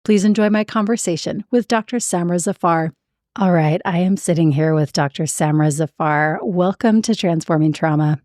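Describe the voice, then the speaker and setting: A woman's voice: fairly low pitch, normal speed, a little mellow, no echo, clean studio sound. A woman hosting an interview podcast, speaking as an introducer and interviewer.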